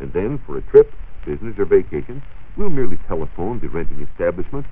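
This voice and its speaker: Deep, husky 1940s American radio man. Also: sing-song, fast. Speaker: science reporter. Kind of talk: 1940s radio science talk, semi-scripted. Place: radio studio. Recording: muffled, narrow-band 1940s radio recording.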